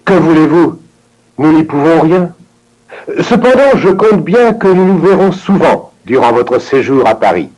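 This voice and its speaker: A man reading scripted dialogue for a language course with an expressive, theatrical delivery. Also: a little high, a little slow, rough recording.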